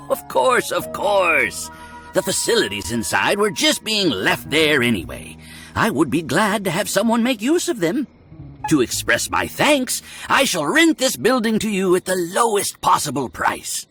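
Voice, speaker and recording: Smarmy polite landlord, gushing n singsong, pitch all over the place, video game, music behind. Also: male voice, huge pitch swings, unhurried, sharp tone, full character voice. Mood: magnanimous. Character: effusive, ingratiating male landlord, flowery and eager.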